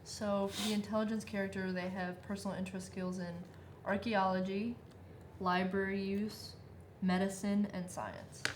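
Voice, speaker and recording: A woman's voice: medium pitch, moderate pace, noisy background. A woman patiently walking someone through game rules in a casual chat. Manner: patient, steady explaining. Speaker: co-host explaining game rules.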